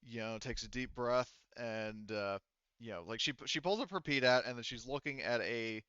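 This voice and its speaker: A man's voice: mid-range voice, moderate pace, fairly bright tone, smooth, no room sound, highs cut off. A man running a tabletop game as game master, improvising narration and hesitantly searching for his words.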